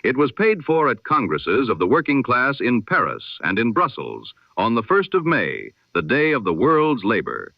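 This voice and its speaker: A man's voice: mid-range voice, wildly swooping pitch, vocal fry, no room sound, muffled recording. Solemn man reading old-time radio news. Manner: measured, solemn, formal. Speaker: newsreader.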